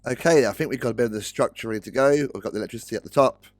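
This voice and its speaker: A British man with a slightly croaky voice, rambling casually through gameplay commentary and correcting himself as he goes. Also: mid-range voice, racing. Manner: rambling, self-correcting, chatty. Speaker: Let's Play host. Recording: dry close-mic recording with faint music.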